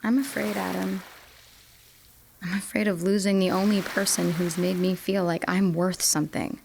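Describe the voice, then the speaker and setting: A young woman playing an insecure, devoted girlfriend who speaks in a hushed, faltering way in a student radio drama. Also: on the low side, level tone, moderate pace, creaky, a little hiss or hum. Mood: fearful.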